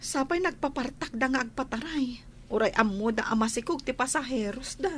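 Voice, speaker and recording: A woman's voice: high-pitched, a little fast, slight background noise, lightly acted. A woman with an Ilocano accent acting an anxious, grateful villager in a radio drama.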